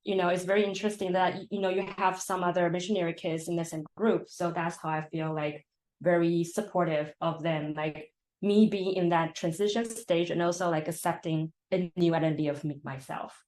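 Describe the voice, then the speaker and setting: A young woman from Taiwan speaks in a fairly low voice as a counseling intern on a live stream. She talks reflectively, leaning on fillers like "like" and "you know" while she gathers her thoughts. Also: steady tone, brisk, a little mellow, poor audio.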